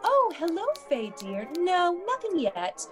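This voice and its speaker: A woman's voice: extremely high pitch, wildly swooping pitch, unhurried, fairly bright tone, soft background music, full character voice. A woman playing a fussy, motherly manager of a college babysitting bureau in a radio play, with chirpy, solicitous politeness. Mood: motherly, brisk. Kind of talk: classic radio play acting.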